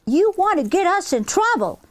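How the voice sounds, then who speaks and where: A woman's voice: mid-range voice, lively intonation, a little slow, natural voice. Woman doing a bossy, scolding big sister. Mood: angry. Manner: exasperated, scolding. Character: bossy, scornful older sister, a girl.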